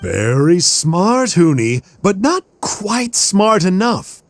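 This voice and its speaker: A playful uncle spinning tales in a video game. The voice is high and husky, leaping up and down theatrically as it coaxes and teases. Faint music plays behind. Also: male voice, sing-song, takes it slowly, full character voice. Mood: gentle, teasing. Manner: theatrical, third-person self-reference. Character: playful, theatrical uncle storyteller, jovial and wheedling. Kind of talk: video game character acting.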